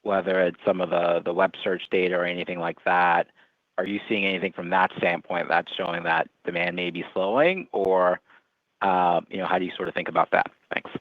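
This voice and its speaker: Low-voiced male analyst, informal questioning. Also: fairly even intonation, fairly quick, no echo. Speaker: financial analyst. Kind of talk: casual questioning on an earnings call. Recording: dull, dry phone-call audio.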